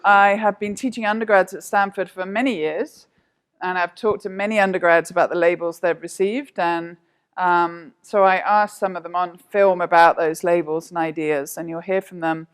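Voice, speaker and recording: A woman university lecturer, calmly introducing a short film to an audience and then interviewing people. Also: fairly low pitch, normal speed, smooth.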